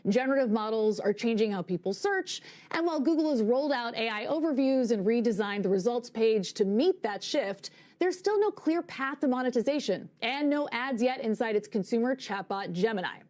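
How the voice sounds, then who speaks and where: A woman's voice: fairly high pitch, lively intonation, brisk, hardly any pauses, a little mellow. A woman delivering a scripted business news report for broadcast, as a reporter.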